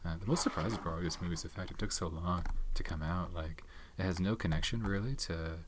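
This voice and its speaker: A soft-spoken man in his thirties hosting an ASMR channel, rambling casually about movies with many fillers and some whispered words. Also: sits low, fast, background noise. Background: tapping and keyboard clicks.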